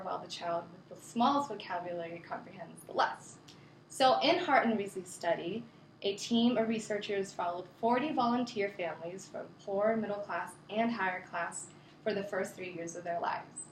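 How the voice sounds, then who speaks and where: A young woman delivers a class speech at a middle pitch, earnest and a little scripted, with casual fillers slipping in. Her voice rings in an echoey classroom over a faint background hum. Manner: earnest, reads prepared points with casual fillers. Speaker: student giving a class speech.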